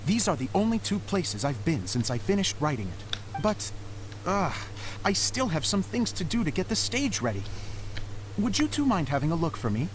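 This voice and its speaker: Eager dreamy stage director. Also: male voice, fairly high pitch, sing-song, moderate pace, background noise. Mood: earnest. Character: earnest adult male theater director, dreamy. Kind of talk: game voice acting. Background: game music.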